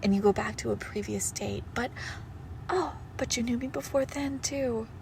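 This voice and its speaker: A woman's voice: on the high side, normal speed, vocal fry, darkish tone, slight background noise. A woman playing a possessive young female shadow monster posing as a doting girlfriend in an intimate horror audio roleplay, speaking tenderly and menacingly, often slipping toward a whisper. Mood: doting, eerie.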